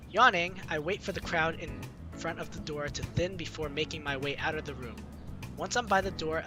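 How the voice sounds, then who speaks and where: A man's voice: a little high, pushed and bright. A man hosting a gaming video, reading visual novel narration aloud informally, with casual asides and self-corrections. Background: game music.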